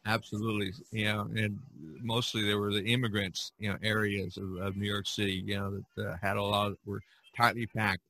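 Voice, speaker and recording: A man hosting a casual podcast discussion, talking haltingly and often repeating his words. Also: lowish voice, little pitch movement, bright, forceful tone, low-quality recording. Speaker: podcast host.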